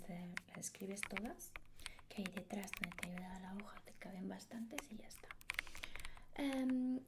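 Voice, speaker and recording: A woman from whispering a patient, praising English lesson as an ASMR roleplay tutor. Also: a little high. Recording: clear keyboard typing noise.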